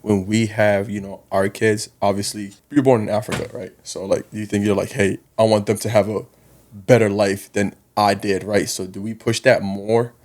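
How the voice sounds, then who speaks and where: A man's voice: fairly low pitch, flat delivery, normal speed, a little mellow. A man from South Sudan talking casually and informally as a podcast guest, with slang and frequent "you know". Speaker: guest, college basketball coach.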